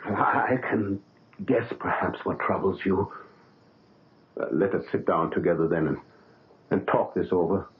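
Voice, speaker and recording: An actor playing an older male doctor who speaks in a calm, fatherly, reasoning way in a 1970s radio drama. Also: low voice, normal speed, long pauses, noisy background, natural voice. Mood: soothing. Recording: narrow old radio broadcast.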